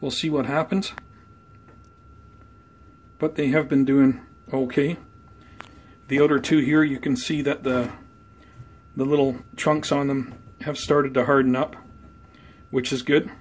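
A man giving an unhurried, conversational show-and-tell as a hobby vlogger, talking casually indoors. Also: mid-range voice, level tone. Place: indoors at home by plant shelves. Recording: clear background noise, room ambience.

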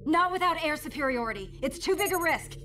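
High-voiced young mission operator. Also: female voice, lively intonation, fairly quick, noisy background. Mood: composed. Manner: clipped, businesslike briefing. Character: composed young female mission operator, serious, cautious. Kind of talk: video game cutscene acting.

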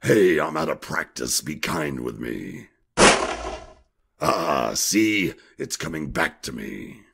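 Guy doing a grumpy tough time traveler for audio drama, super gravelly and husky, doesn't care about anything. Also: very deep voice, sing-song, slow pace, a bit bright, heavily acted. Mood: indifferent.

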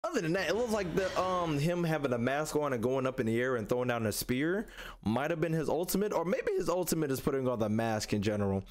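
A man's voice: a little high, lively intonation, quick pace. A man in his twenties with an American accent, giving casual, slangy commentary as a reaction host and repeating phrases for emphasis. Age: 20s. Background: game trailer music and sound.

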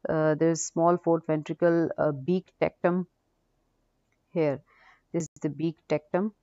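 A woman with a low, mellow voice teaches as a radiology lecturer, talking through cases without a script. She explains hesitantly and repeats herself. The recording is dry and muffled. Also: steady tone, slow pace, dry recording. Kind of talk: unscripted case-review lecture. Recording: dull, dry lo-fi audio.

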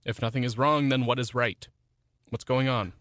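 An actress playing an attentive, caring spouse in a radio play, earnest and direct in conversation. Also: female voice, extremely low pitch, fairly even intonation, normal speed, a bit bright. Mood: concerned. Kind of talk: radio play acting, domestic dialogue.

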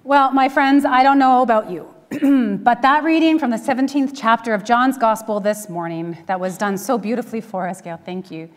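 A middle-aged woman preaching a conversational sermon with dry humour and deliberate pauses, from a church pulpit. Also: a little high. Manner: wry, dryly humorous, with deliberate pauses. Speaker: minister preaching. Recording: echoey church hall, long reverb.

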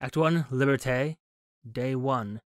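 A man's voice: medium pitch, slow, dry recording, studio quality. A man hosting a game playthrough, reading text aloud and commenting in an articulate, dryly understated way. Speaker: gaming video host.

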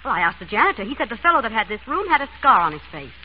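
An actress playing a breezy, witty, stubborn amateur sleuth in an old-time radio drama, bantering briskly. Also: female voice, on the high side, sing-song. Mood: curious. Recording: muffled, noisy vintage radio recording.

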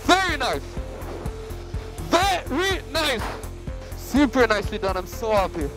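A male racing driver celebrates over team radio in a high, animated voice that leaps up and down. Music is clearly heard behind him. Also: unhurried. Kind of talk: exuberant celebration.

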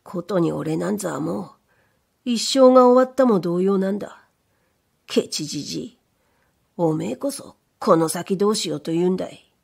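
A woman voicing a rough-spoken old craftsman who talks bluntly. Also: lowish voice, moderate pace, a little mellow, heavily acted. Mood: gruff.

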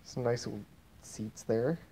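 Slow, offhand man. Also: medium pitch, warm tone, slight background noise. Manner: slow, offhand, trailing off mid-phrase. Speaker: auctioneer previewing items. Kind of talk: casual walkthrough narration. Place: indoor warehouse and tent. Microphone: handheld phone camera.

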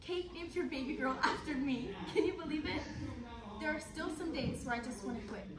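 A young woman acts out an earnest youth pastor recounting her story on stage. Her voice is high and projected, with emphatic theatrical delivery. It is caught from far off in an echoey room with audience sounds. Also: brisk, over a noisy crowd, natural voice. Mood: earnest, reflective. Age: young adult. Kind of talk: readers theater performance, storytelling narration. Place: large conference room, judges' table in front. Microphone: distant camera.

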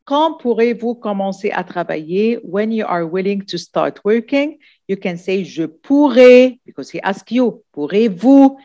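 A woman's voice: medium pitch, expressive, measured pace, warm tone, highs cut off. A woman teaching French for an exam, explaining in English, repeating phrases and often asking "d'accord?". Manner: repetitive, checks in with "d'accord?" often. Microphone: voice-over on screen recording.

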